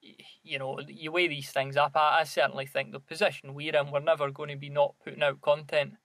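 A man's voice: a little high, level tone, brisk, fairly bright tone, no room sound. A man co-hosting a podcast in casual, conversational chat. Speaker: podcast co-host.

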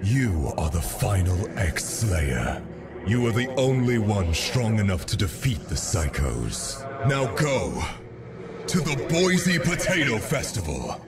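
Very deep, solemn spirit mentor. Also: male voice, distant mic, full character voice. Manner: grave, deliberate, portentous. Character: solemn, slow-speaking male spirit mentor. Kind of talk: video game cutscene voice acting.

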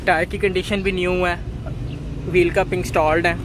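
A man walking viewers around used cars at an outdoor bazaar, listing their features in a steady, repetitive way. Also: high-pitched, normal speed. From Pakistan. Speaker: car market video host. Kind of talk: walkaround car review for viewers. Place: outdoor car bazaar, dusty lot. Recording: outdoor market noise behind.

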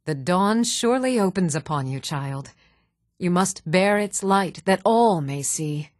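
A serene priestess from a fantasy video game. She speaks in a somewhat low register, with solemn, lofty and measured devotion. The sound is dry, with no room around it. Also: expressive, darkish tone, no echo. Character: serene, devout priestess of a love goddess.

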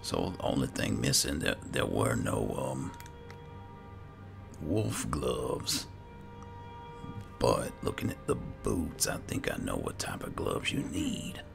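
A man with an exceptionally low voice narrates his game in a casual, musing way. His pitch moves around a great deal and is thick with creak, as he thinks out loud. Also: sing-song, slow pace, long pauses, over music. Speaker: gaming video host. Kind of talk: casual roleplay game commentary.

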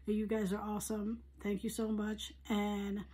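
Female vlogger, medium pitch, husky and hoarse, casual rambling review chat. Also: monotone, measured pace, mellow. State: hoarse, recovering from surgery. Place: living room at home.

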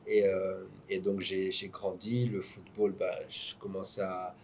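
A young man who grew up in Belgium, talking as a guest in an interview, halting and peppered with fillers, seated indoors at a table. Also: sits low, flat delivery, darkish tone, a little hiss or hum. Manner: hesitant, restarts often, adds "tu vois". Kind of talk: casual interview talk. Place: hotel lounge, indoors.

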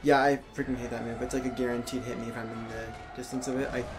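A man's voice: mid-range voice, level tone, quick pace, loud music behind the voice. A young man chatting casually and jokingly as he plays a video game and comments on it. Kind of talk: casual joking gameplay chat. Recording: noisy, game ambience behind voice.

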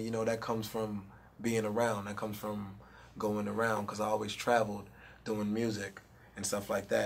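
A man's voice: fairly low pitch, a little hiss or hum. A young man, a rapper, chatting casually in an interview with a handheld mic in a studio room, laid-back and full of "you know what I mean". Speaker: rapper guest.

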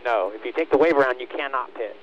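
A man's voice: mid-range voice, fast talker, highs cut off, slight background noise. A man working as a race spotter, speaking in short, repeated commands over a team radio. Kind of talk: urgent radio spotting calls to a driver.

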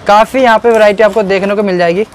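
High-voiced vlogger touring a shop. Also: male voice, steady tone, fast talker. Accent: Hindi, Delhi. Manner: repeats prices to viewers, addresses them as guys. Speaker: market vlogger. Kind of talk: casual market tour commentary. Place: small crowded wholesale shop, indoors.

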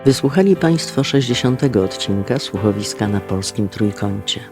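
A man's voice: fairly low pitch, expressive, normal speed. Man reading credits over music. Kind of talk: scripted narration. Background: guitar music.

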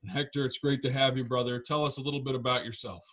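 A man's voice: mid-range voice, steady tone, fast talker, fairly bright tone. A man in his thirties hosting a podcast from a home study, talking in a friendly, upbeat way with a few fillers. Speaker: podcast host. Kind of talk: casual podcast chat. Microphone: large podcast mic on stand.

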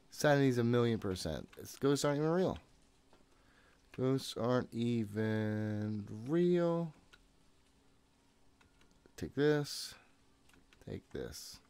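A man's voice: medium pitch, animated, slow pace, long pauses, a little mellow. A man in his thirties giving casual live commentary as he plays a horror game, jumpy and swearing freely as he talks to himself. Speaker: video game streamer. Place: small room at home. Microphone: desk microphone close to the mouth.

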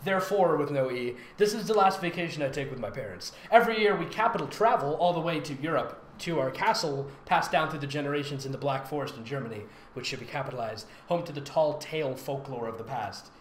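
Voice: medium pitch, fast, few pauses. A theatrical, sarcastic and giggly host reading fan fiction aloud with mocking comedic commentary. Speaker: fanfic reader and voice actor hosting a reading. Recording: roomy echo, faint background noise.

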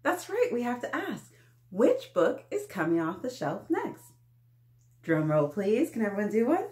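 A woman in her thirties hosting story time for small children, with an animated, sing-song way of talking. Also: mid-range voice, unhurried. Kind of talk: read-aloud for young children. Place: small indoor room with painted mural.

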